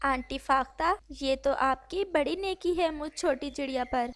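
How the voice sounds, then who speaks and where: A woman voicing a small, gentle female bird in a cartoon story, meek and plaintive. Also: high-pitched, measured pace.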